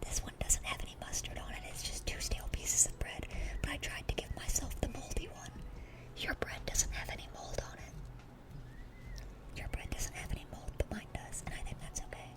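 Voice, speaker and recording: A woman's voice: a little fast, natural voice. A woman playing a dorky, affectionate young demon girl in a whispered ASMR roleplay, rambling and repeating herself eagerly. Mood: gushing, affectionate.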